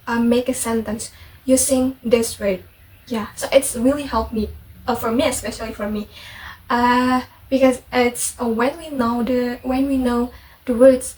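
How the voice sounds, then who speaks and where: A young Indonesian woman with an Indonesian accent, chatting casually in a vlog, full of fillers and self-corrections. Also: high voice. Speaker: vlogger. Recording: distant mic with faint background noise.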